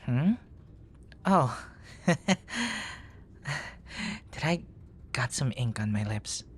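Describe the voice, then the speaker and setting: A man playing a charming, smitten young nerd in an ASMR boyfriend roleplay, murmuring softly in courtly, poetic phrases. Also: mid-range voice, animated, extremely slow, slight background noise. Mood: tender, teasing.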